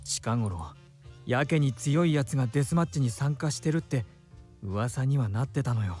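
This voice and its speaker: A man's voice: mid-range voice, expressive, fast, mellow, no echo, natural voice. A man playing a flamboyant, gossipy bar character in a Japanese visual novel, with music behind. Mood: gossipy, concerned.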